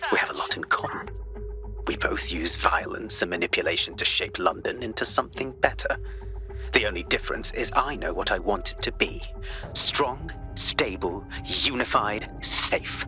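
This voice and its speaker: An actor playing a calm, menacing counterterrorism director in a video game, speaking in a measured, oratorical way. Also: male voice, lowish voice, heavily acted.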